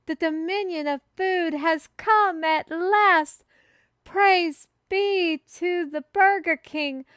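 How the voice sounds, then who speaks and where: A woman playing an excitable fantasy messenger who proclaims a prophecy to the listener in grandiose, formal, old-fashioned phrasing, as a scripted audio roleplay. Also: sits high, dry recording, clean studio sound. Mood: jubilant, proclaiming. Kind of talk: theatrical scripted roleplay monologue addressed to the listener.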